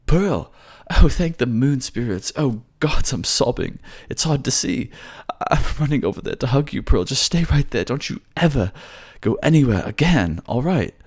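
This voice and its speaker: A man voicing an excitable, affectionate male advisor who gushes and bubbles over. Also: medium pitch, moderate pace, creaky, few pauses, husky, pitched above the performer's own voice, exaggerated voice. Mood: overjoyed. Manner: gushing, bubbly.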